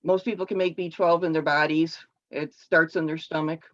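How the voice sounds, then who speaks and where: A woman giving a personal speech to her club over a video call, halting and explanatory with frequent restarts. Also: deep voice, fairly even intonation, a bit bright. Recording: dull lo-fi call audio.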